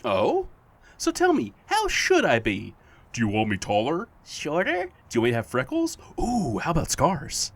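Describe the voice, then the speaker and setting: An actor in an audio drama playing a playful, needling supernatural being who poses as a best friend, with theatrical, drawn-out teasing. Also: medium pitch, huge pitch swings. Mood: gleeful, coaxing.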